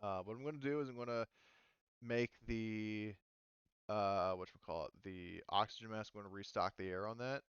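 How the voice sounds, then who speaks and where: A man running a tabletop roleplaying game as game master, talking casually and haltingly as he thinks through the rules aloud. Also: lowish voice, smooth, no room sound, muffled recording. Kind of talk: casual tabletop game refereeing over online session.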